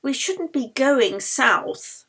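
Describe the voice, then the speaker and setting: A breezy woman assistant from a storybook, acted by a woman reader. She is stubborn, eager and teasing, with a lifted pitch. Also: expressive, drawn-out words, sharp tone, slightly rough, heavily acted. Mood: coaxing.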